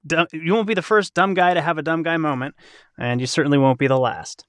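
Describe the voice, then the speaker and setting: A man with a slightly high voice chats casually among fellow cast members. His sound is dry, with no room around it. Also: quick pace, a bit bright, no echo. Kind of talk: casual panel banter.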